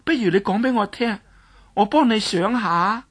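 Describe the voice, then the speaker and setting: A woman voicing a mischievous, willful young girl who wheedles and pleads in a drawn-out way. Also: mid-range voice, breathy edge, lightly acted. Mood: coaxing. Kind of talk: Cantonese storytelling dialogue.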